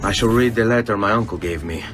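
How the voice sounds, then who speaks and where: A man's voice: on the low side, fast, over music, close to an everyday voice. An actor with an Italian accent playing a vengeful, hot-headed young Italian nobleman turned assassin in a video game. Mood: resolute. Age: young adult.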